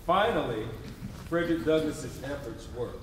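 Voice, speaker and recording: A man's voice: fairly high pitch, expressive, normal speed, a crowd in the background. A man reading scripted history as a stage narrator, emphatic and phrase by phrase, in a noisy, echoing hall. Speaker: stage narrator in readers theatre. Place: live stage performance in a hall. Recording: very echoey hall, distant, noisy.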